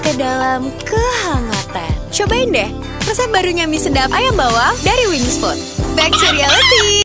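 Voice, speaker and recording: A woman doing an expressive commercial voice-over. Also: high voice, normal speed, loud music behind the voice.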